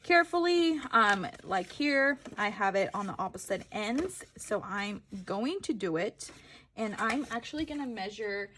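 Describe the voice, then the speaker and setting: A woman's voice: medium pitch, a bit bright, slight background noise. A woman giving a casual step-by-step craft tutorial, informal, correcting herself and thinking aloud as she goes.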